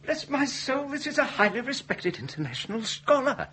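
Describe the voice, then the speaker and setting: A sharp, high male voice an indignant scholar in a period ghost drama. He is pompous and formal, with lively swings of pitch and exasperation. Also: moderate pace, reverberant, distant mic, heavily acted.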